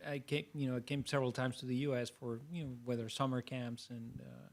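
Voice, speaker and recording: A man's voice: medium pitch, flat delivery, fairly quick, vocal fry. Man on a panel. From Mexico. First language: Spanish. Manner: reflective, with frequent restarts and fillers. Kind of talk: panel discussion.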